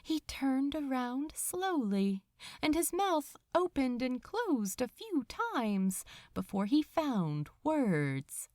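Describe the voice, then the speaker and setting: A woman reading fiction aloud as a dramatic narrator, deliberate and expressive, with pauses between phrases. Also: high-pitched, no echo, studio quality. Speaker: narrator of fan fiction audiobook. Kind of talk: dramatic scripted story narration.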